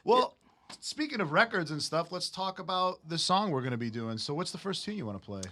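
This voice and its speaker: A man's voice: a little high, expressive, fairly quick, bright, forceful tone. A man hosting a casual music chat, laid-back and curious, laughing as he talks. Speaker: host; music teacher.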